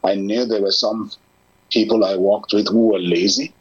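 A man in conversation, speaking in an earnest, measured, persuasive way. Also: on the low side, steady tone, slightly rough. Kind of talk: conversational discussion. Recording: lo-fi muffled audio.

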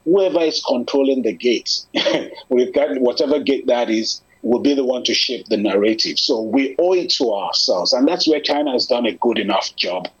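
Man, husky voice, earnest and measured, dull lo-fi sound. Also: medium pitch. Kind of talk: conversational discussion. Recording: lo-fi muffled audio.

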